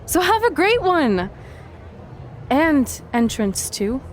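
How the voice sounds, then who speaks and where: An upbeat young female rookie wrestler in a video game. Her voice sits a little high and sounds friendly and sincere, with faint noise in the background. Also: big pitch swings, slow pace, full character voice. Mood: earnest. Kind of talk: video game cutscene acting.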